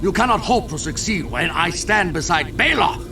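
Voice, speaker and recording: A man's voice: high-pitched, animated, normal speed, vocal fry. A man playing a self-justifying fantasy clansman who speaks in a formal, archaic way. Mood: bitter, defiant. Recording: distant mic under music.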